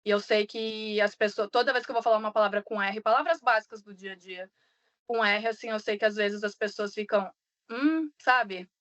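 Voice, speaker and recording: A Brazilian Portuguese-speaking woman chats casually in an animated, storytelling way. Her voice sits at a middle pitch. The audio is a little dull and lo-fi, like a call. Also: normal speed. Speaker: podcast guest living abroad.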